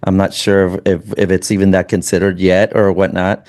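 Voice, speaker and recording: Male podcast host, lowish voice, loose rambling delivery with restarts. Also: little pitch movement, a little mellow. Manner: rambling, halting with many restarts. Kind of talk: casual interview chat.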